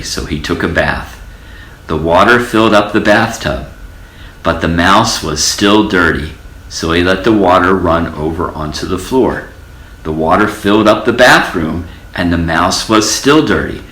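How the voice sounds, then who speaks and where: An older man with a very deep voice reads a children's tale aloud in an echoey room. His delivery is animated and melodic, lingering on repeated words to stretch out the story. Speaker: storybook reader.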